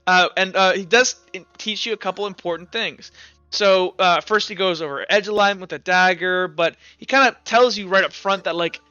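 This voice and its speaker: A man narrating a tabletop roleplaying game as game master, explaining things in a casual, halting, rambling way. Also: high voice, bright, forceful tone, clear, resonant tone, no echo, muffled recording, soft background music.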